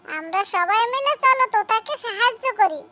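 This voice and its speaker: A commanding cartoon crow chief who rallies the flock , voiced by a woman. The voice is extremely high, with clear noise behind it. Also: expressive, moderate pace, pushed and bright, heavily acted. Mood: rallying. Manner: rallying, giving orders. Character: crow chief, fatherly commanding leader of birds. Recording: clearly noisy background.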